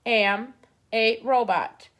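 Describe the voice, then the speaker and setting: A woman reading aloud, voicing a calm, polite female robot who speaks slowly and evenly. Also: a little high. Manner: slow, even, deliberate.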